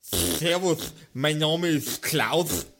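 Odd local man acted by a man, deadpan and halting, slightly high voice, rambling comic game character. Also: heavily acted.

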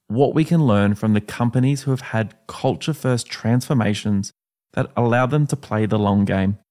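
Australian man, podcast host, scripted intro, upbeat, a bit low-pitched. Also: a little mellow.

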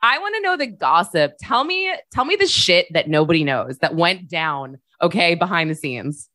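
A young woman co-hosting a podcast, animated and gushing, with casual swearing. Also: on the low side, normal speed, no echo, clean studio sound. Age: young adult. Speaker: podcast co-host. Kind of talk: casual interview chat.

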